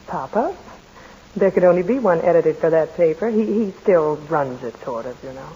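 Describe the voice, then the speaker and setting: A woman's voice: sits low, expressive, normal speed, mellow, lo-fi sound. An actress playing a wry young woman newspaper editor who teases and probes, in 1940s radio drama style. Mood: earnest. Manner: wry, probing, lightly mocking.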